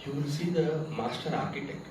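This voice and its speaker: A man's voice: on the high side, brisk, background noise. A man whose first language is Tamil, giving a spiritual discourse, asking rhetorical questions and reciting Sanskrit verses. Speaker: spiritual teacher. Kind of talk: spiritual discourse to a camp audience. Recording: very echoey, from far off, with crickets.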